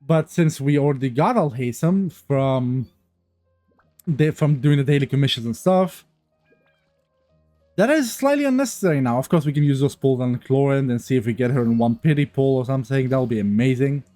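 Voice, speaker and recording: A man with a stuffy, cold-clogged nose talks casually over a game, looping back and restarting his sentences as he goes. His voice is mellow and dark, and the recording is dry and close on a new mic. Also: mid-range voice, moderate pace, no room sound, faint music behind. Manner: rambling, many restarts and fillers. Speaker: gaming youtuber. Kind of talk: casual gameplay commentary. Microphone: new mic, untuned.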